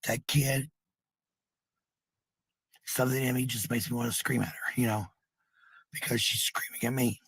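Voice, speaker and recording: A man's voice: mid-range voice, steady tone, moderate pace, gravelly, long pauses, fairly bright tone, breathy edge, no room sound. A middle-aged man talking casually in a rambling monologue, blunt and defensive, with some swearing. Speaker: parent talking about family life.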